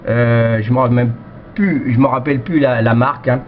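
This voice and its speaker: A man's voice: mid-range voice, steady tone, a little fast. A man from France, an amateur radio operator, chatting casually over the radio in a rambling way full of verbal tags, on a headset microphone. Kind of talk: casual radio chat, unscripted. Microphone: cheap headset microphone. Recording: dull, noisy radio transmission.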